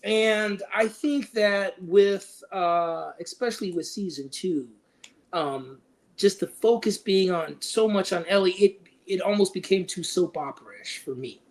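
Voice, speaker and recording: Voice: medium pitch, measured pace. A panelist in a casual discussion, thinking aloud and tentatively working through opinions. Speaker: panelist on a film and TV discussion show.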